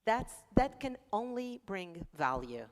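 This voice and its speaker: A woman on a conference panel, speaking in an animated way and acting out inner thoughts. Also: mid-range voice, takes it slowly. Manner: animated, acts out inner voices. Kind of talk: panel discussion. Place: conference hall. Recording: reverberant conference hall.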